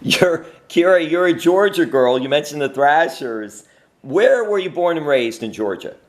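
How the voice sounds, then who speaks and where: A man hosts an interview and asks his questions with plain enthusiasm. His voice sits a bit higher than most men's. His delivery is lively and upbeat. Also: unhurried. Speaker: interview host. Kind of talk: casual interview.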